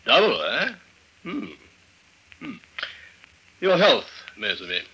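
Jaunty husky-voiced English mountaineer. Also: male voice, mid-range voice, wildly swooping pitch, slow, pushed and bright, exaggerated voice, low-quality recording. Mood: eager, sly. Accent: British. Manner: breezy, clipped upper-class understatement. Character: jaunty, unflappable English clerk and amateur mountaineer. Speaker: actor in a radio drama. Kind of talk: old-time radio acting. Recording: vintage radio drama recording.

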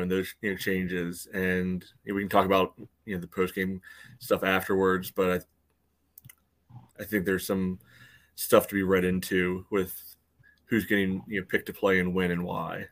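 A man on a sports podcast talking casually and haltingly, often dropping in "you know". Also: low voice, little pitch movement, a bit bright. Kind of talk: casual soccer discussion.

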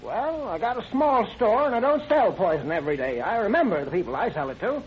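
A man's voice: high voice, sing-song, a little fast, slightly rough, exaggerated voice. A man playing a nervous small-town pharmacist on the witness stand in a radio drama, hesitating before he answers. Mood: nervous, defensive. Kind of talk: old-time radio drama acting. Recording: muffled, noisy vintage radio recording.

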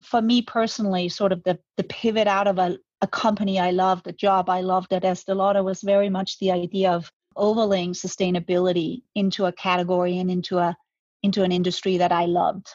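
A woman's voice: lowish voice, flat delivery, brisk, darkish tone, no room sound, lo-fi sound. A woman, talking reflectively as an interview guest, often restarting her words. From Denmark. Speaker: guest, brand co-founder. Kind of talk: conversational interview.

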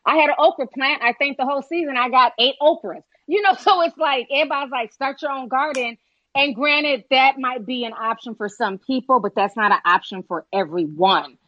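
An American woman hosting a casual chat, talking in an animated, rhetorical way with lots of "you know" and "like". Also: sits high, brisk, no echo, highs cut off. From United States.

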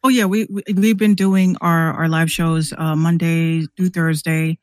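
A woman's voice: low-pitched, little pitch movement, a little slow, darkish tone, no room sound, studio quality. A woman who streams and podcasts, chatting casually as a guest on a show.

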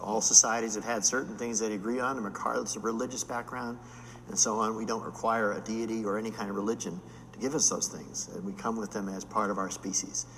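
A man's voice: lowish voice, steady tone, a little fast, a bit bright, long reverb tail, slight background noise, rough recording. Older man in his sixties, blunt. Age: 60s. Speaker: paleontologist and geologist. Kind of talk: panel discussion.

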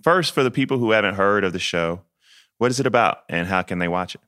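A man hosting an interview podcast, animated and playful, laughing and joking casually with his guest. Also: on the low side, fast talker. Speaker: podcast host.

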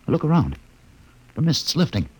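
An actor playing an inquisitive, observant scientist on a space expedition in a classic radio drama, musing and deliberate. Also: male voice, fairly high pitch, wildly swooping pitch, darkish tone, slightly rough, full character voice. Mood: fascinated. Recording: noisy old radio broadcast recording.

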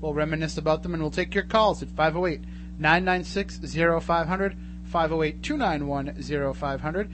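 A man's voice: fairly high pitch, moderate pace, background noise. A man hosting a live radio talk show, chatting and steering the program.

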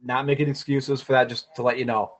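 A man's voice: mid-range voice, little pitch movement, a little fast. A man co-hosting a podcast, chatting through local sports news, scores and schedules. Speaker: podcast co-host. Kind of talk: casual sports news rundown. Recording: dull lo-fi sound.

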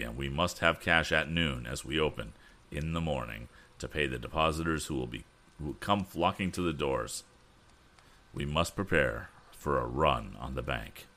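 Guy reading as tired old bank boss in a storybook, grave and steady, real low voice. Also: exaggerated voice. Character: weary aged male bank president.

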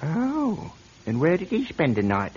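An actor with a British accent playing a courteous, smoothly inquisitive policeman in an old radio mystery, speaking politely and formally. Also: a little high, wildly swooping pitch, measured pace, a little mellow. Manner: polite, formal, suave questioning. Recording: muffled, noisy old radio recording.

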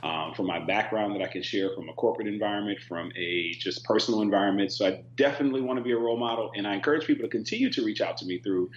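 A man guest on a talk panel, speaking in an earnest, thoughtful and measured way. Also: on the low side. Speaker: reality show cast member, guest. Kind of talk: casual panel chat. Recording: dull echoey remote audio with hum.

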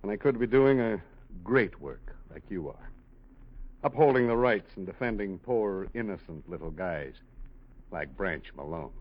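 A dull old radio recording of a tough male police lieutenant. His voice is husky and low, and he needles with dry, street-wise sarcasm. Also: lively intonation, measured pace, exaggerated voice. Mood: sarcastic. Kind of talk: 1940s radio crime drama acting.

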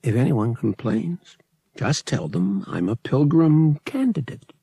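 A man's voice: mid-range voice, very animated, a little slow, creaky, warm tone, breathy edge, no room sound, full character voice. A man voicing a roguish young male pilot in an audiobook, casual and coaxing, with a sceptical streak. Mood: coaxing, teasing. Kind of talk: audiobook character acting.

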